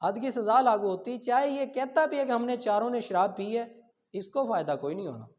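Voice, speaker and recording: A man's voice: very high-pitched, lively intonation, a little fast. A man with a Pakistani accent giving an explanatory law lecture with examples, asking and answering his own questions and mixing in English terms. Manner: switches between Urdu and English legal terms. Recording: dull lo-fi sound.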